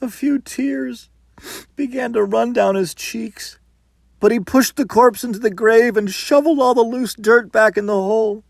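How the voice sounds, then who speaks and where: A man's voice: extremely high pitch, normal speed, creaky, no room sound. A man reading a folktale as dramatic narration, with a theatrical, suspenseful and emphatic delivery.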